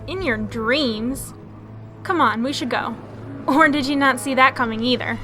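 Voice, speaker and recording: A woman plays a confident young crime-fighting heroine in a radio drama. Her voice is high and crisp-edged with brightness, and her delivery is brisk and matter-of-fact over music. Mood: teasing. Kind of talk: radio drama acting and scripted narration.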